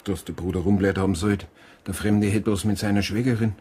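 A Bavarian policeman with a low voice and a rapid pace. He reports factually, in naturalistic radio crime drama style. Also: steady tone, mellow, breathy edge. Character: eager male Bavarian policeman reporting an incident.

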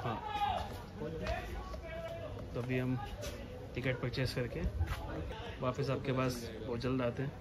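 A man's voice: mid-range voice, monotone, takes it slowly, pauses often. A man chatting casually in a travel vlog, narrating to camera outdoors at a lake park. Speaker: vlogger. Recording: loud outdoor background noise.

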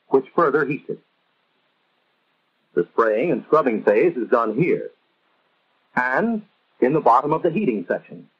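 An American man narrating a 1950s instructional film in a measured, emphatic, teacherly way, on an old film soundtrack. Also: medium pitch, husky, lo-fi sound.

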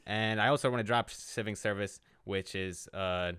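A man's voice: on the low side, moderate pace, a bit bright, clear, resonant tone. A teenage man from New York, a podcast guest, confidently plugging his music and projects into a desk mic in a small office. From New York, USA. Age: teens. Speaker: podcast guest, young rapper. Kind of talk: self-promoting interview answers.

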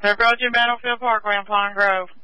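A man's voice: high voice, level tone, fast talker, pushed and bright, slight background noise, low-quality recording. A male police dispatcher relaying pursuit updates in short radio calls.

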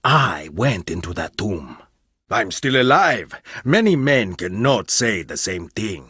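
A proud, distrustful archaeologist from an adventure game, blunt to the point of rudeness. His husky voice swings wildly up and down as he lectures, dry and close to the mic. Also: lowish voice, sing-song, slow pace, fairly bright tone, no echo, full character voice. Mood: stern. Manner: blunt, haughty, dramatic swings in delivery. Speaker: game character voice. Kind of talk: video game voice lines.

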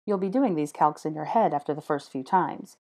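Casting: posh young man wizard, measured and formal, low voice, read by a woman. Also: lively intonation, darkish tone. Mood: instructive.

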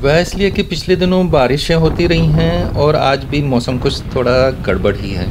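A man's voice: fairly high pitch, normal speed. A man giving a casual, wry running commentary as he drives a guest around a city, speaking from inside a car. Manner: chatty, wry remarks about traffic manners. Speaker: vlogger giving a city driving tour. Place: inside a car driving through a city in rain.

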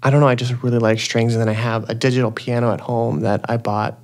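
A man's voice: mid-range voice, level tone, quick pace, a little mellow. A young man hosting a podcast, chatting in an enthusiastic, rambling way and saying "like" often. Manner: enthusiastic, rambling, says like a lot. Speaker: podcast host. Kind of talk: casual interview chat.

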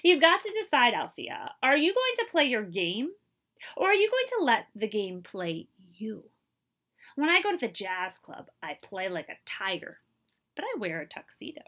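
A woman's voice: on the high side, sing-song, normal speed, fairly bright tone. A woman voicing an earnest, encouraging man who mentors a young tennis player in a storybook. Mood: earnest urging.

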